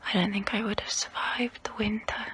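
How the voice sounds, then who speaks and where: Casting: ancient female vampire played by a woman for ASMR role play. Breathy whisper, crisp bright edge, unhurried, proud yet wistful. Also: mid-range voice, flat delivery, lightly acted. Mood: intimate, wistful. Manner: slow, intimate, close-up, deliberate pauses.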